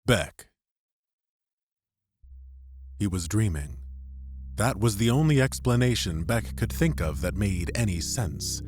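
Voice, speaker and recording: Low-voiced male audiobook narrator. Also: lively intonation, a little slow, a little mellow, slight background noise. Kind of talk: dramatic scripted narration. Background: music.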